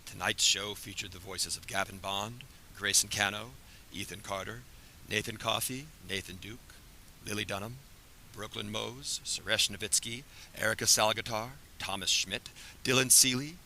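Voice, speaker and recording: A man's voice: lowish voice, fairly even intonation, normal speed, pushed and bright. A teenage male announcer reading scripted credits for a radio show. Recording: noisy room ambience.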